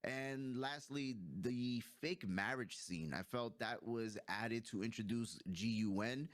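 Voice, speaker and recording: A man's voice: mid-range voice, slow, no room sound. A man, probably in his thirties, chatting casually and enthusiastically as he hosts a movie review, using plenty of slang.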